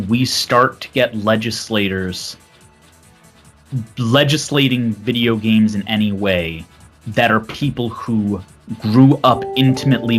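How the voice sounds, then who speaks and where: A man's voice: medium pitch, a bit bright. A man speaking on a home microphone during a casual gaming podcast panel. He rambles through his points with restarts and sometimes loses his thread. Faint music plays behind him.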